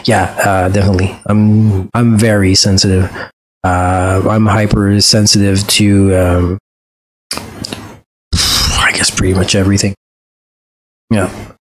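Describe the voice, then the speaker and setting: A man's voice: low voice, level tone, slow pace, pauses often, low-quality recording. A man with a French accent, a native French speaker, talking through a reaction video in a hesitant, reflective way with many fillers and restarts. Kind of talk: casual reflective monologue.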